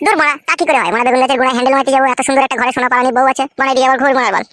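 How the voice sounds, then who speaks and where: High-pitched, whiny cartoon husband. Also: male voice, steady tone, racing, bright, forceful tone. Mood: insistent, angry. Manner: crude, pleading, wailing delivery. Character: whiny, foul-mouthed young newlywed husband, easily cowed. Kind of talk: amateur comic cartoon voice acting.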